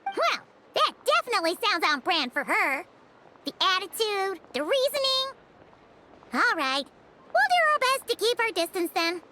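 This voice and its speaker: A woman voicing a tiny, excitable, childlike fairy companion in a video game, bubbly and chatty. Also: very high voice, a little slow, very noisy, full character voice. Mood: chatty, wry. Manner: refers to self in third person, exclaiming. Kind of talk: animated video game voice acting. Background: music.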